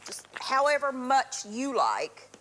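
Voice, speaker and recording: A middle-aged woman, raspy from a cold, chattily walking viewers through a recipe on a live TV cooking show. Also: high voice, sing-song, slow, a bit bright, a little hiss or hum, rough recording. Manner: chatty instructional, throat clearing. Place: TV studio kitchen.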